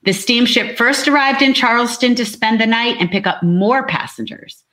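A woman's voice: a little high, moderate pace, a bit bright. A woman narrating a dramatic historical story as an amateur scripted reading.

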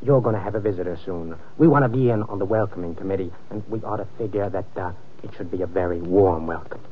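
An actor playing a menacing, sarcastic gangster boss with mocking, slangy talk in an old-time radio crime drama. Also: male voice, deep voice, fast, natural voice. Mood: smug threat. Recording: muffled, noisy old radio recording.

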